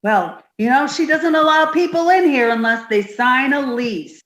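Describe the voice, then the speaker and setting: A woman's voice: high-pitched, moderate pace, fairly bright tone, echoey room, lo-fi sound. A woman playing a naive, dim-witted, earnest young roommate in a 1950s-style radio comedy. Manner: innocent, literal-minded.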